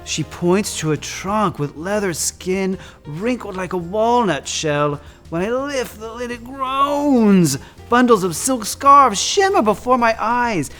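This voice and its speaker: A man with a high voice performs as a drag queen storyteller. The delivery is theatrical and effusive, full of campy endearments like a fond stage host. Faint music plays along with the voice. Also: slow pace. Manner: effusive, campy, often calls listeners "darlings"; dramatic, expressive delivery. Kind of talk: theatrical read-aloud of picture books with chatty asides.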